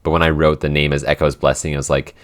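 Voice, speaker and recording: A man co-hosting a casual podcast Q&A, thinking aloud in a halting way as he explains his writing. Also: very deep voice, level tone. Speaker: podcast writer and co-host answering listener questions. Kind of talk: casual Q&A chat.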